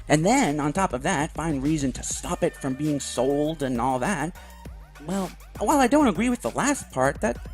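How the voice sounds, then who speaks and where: A man delivering scripted opinion commentary straight to the viewer in an earnest, emphatic way. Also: on the high side. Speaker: video commentator. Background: background music.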